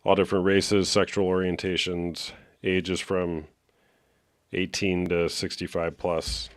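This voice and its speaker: A man hosting a live call-in show, talking in a relaxed, steady way and asking callers short, direct questions. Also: sits low, slow pace, no room sound, clean studio sound. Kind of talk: casual call-in talk.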